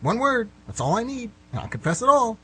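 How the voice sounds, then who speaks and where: A man's voice: extremely high pitch, very animated, fairly quick, pushed and bright, voice pushed up, full character voice, rough recording. Agitated, rambling middle-aged suspect played by a man. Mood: exasperated, goading. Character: frustrated middle-aged male suspect in handcuffs, pleading. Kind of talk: amateur radio play acting. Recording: hum and clear background noise.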